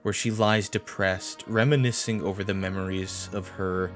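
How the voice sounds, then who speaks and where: A man's voice: low-pitched, steady tone, normal speed, soft background music. A man narrating a scripted episode recap as a video essayist, with wry asides.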